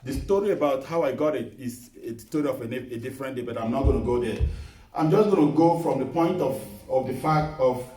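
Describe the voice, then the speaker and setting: A middle-aged man preaching a sermon in a small church, earnest and measured, repeating his key phrases as he tells a personal testimony. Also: mid-range voice, mellow. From Nigeria. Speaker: guest preacher. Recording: echoey hall, voice picked up from a distance.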